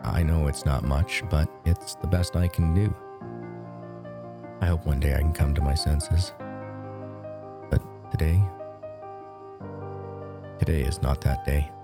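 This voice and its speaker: An actor playing a guilt-ridden brother in an audio drama, voicing a farewell letter in a solemn, halting manner. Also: male voice, rumbling low, fairly even intonation, over music. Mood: remorseful, resigned.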